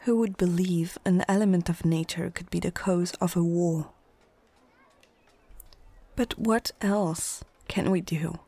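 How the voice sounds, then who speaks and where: A woman playing a stern, proud female orc chieftain in a whispered ASMR fantasy roleplay, speaking in short, measured phrases with a husky, breathy voice. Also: on the low side, warm tone, no echo, heavily acted. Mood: grim, threatening.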